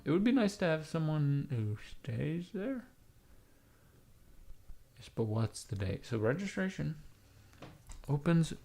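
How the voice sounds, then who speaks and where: A raspy-voiced man livestreaming from his computer, rambling casually to his chat, swearing and talking to himself as he types. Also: on the high side, a little mellow. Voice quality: raspy, gravelly. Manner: rambling, swearing, talks to chat while typing.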